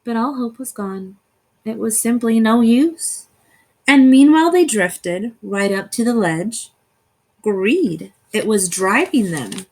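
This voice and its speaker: Slow-paced woman reading a rhyming storybook. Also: fairly high pitch, lively intonation, darkish tone. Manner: theatrical, deliberate phrasing. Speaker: storybook reader. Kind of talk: expressive rhyming children's story narration.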